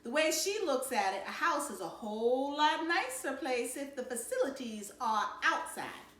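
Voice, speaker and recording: Woman playing matter-of-fact mother, storybook, firm, measured, fairly high voice, echoey room. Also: big pitch swings, fairly bright tone. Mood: patient firmness. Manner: measured, explaining firmly.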